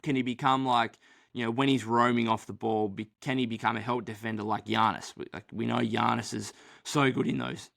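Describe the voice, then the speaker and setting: A man's voice: mid-range voice, steady tone, brisk, vocal fry, fairly bright tone. A young man co-hosting a casual sports podcast, rambling with many fillers and restarts, his voice dry this early in the morning. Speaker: podcast co-host.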